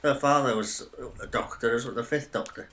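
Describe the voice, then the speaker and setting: A man's voice: mid-range voice, moderate pace, bright, forceful tone. A man chiming in during a casual podcast conversation. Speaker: podcast panellist. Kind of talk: casual chat, interjecting.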